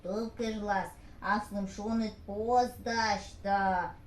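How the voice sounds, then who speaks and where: A woman voicing a kind, hardworking hedgehog in a puppet show, speaking in an earnest, steady way. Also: medium pitch, very slow, a little hiss or hum. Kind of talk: puppet theatre acting. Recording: echoey room.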